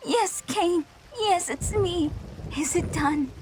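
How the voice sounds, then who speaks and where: A woman voicing a gentle, forgiving animal-folk wife in a video game, tender and soothing. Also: extremely high pitch, takes it slowly. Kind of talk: dramatic video game voice acting. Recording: loud music and rain behind.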